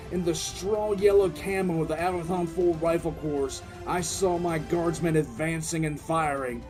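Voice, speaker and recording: An actor playing a battle-hardened military commissar who recounts combat with grim relish in an intense, theatrical audio drama narration. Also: male voice, fairly high pitch, a little mellow, lightly acted. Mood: grimly gleeful. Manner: intense, theatrical, drawn-out emphatic delivery.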